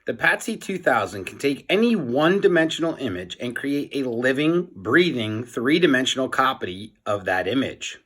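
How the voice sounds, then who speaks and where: A man's voice: medium pitch, sing-song, moderate pace, hardly any pauses, fairly bright tone, husky. A man voicing an earnest, boastful nerdy boy inventor who explains things grandly and emphatically in a children's storybook. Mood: proud explaining.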